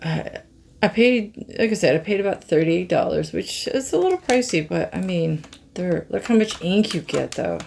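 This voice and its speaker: A middle-aged woman with a hoarse, scratchy voice, chatting slowly to viewers as she reviews art pens and marvels at their colors. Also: on the low side, animated, a little mellow. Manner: slow, musing, easily amazed, talks to viewers. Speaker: craft channel host. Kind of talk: casual product review chat.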